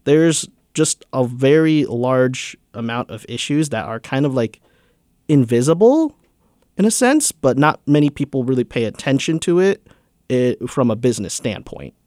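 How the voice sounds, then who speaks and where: A man's voice: mid-range voice, lively intonation, moderate pace, darkish tone, dry recording, studio quality. A young man, a student, answering interview questions in a casual, earnest way with many fillers. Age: young adult. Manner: earnest, filler-heavy with many likes and uhs. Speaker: student guest.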